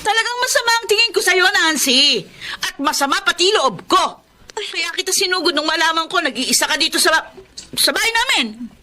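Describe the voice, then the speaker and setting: An actress playing a spiteful, domineering older sister-in-law who scolds in a Filipino radio drama. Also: female voice, extremely high pitch, big pitch swings, normal speed, sharp tone, full character voice. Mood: hostile. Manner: scolding, confrontational.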